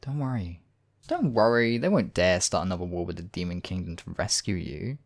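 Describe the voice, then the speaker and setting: A man's voice: low voice, lively intonation, brisk. A man voicing a devoted demon king in an intimate ASMR roleplay, speaking in a courtly, tender and teasing way. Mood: reassuring. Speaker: voice actor in audio roleplay. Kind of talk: ASMR romantic roleplay acting.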